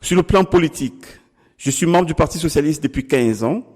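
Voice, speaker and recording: Measured middle-aged Cameroonian-born man. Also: a little high, expressive, a little mellow, breathy edge, big-room sound. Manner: deliberate, formal, with pauses and some restarts. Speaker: political candidate. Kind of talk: measured campaign interview answers.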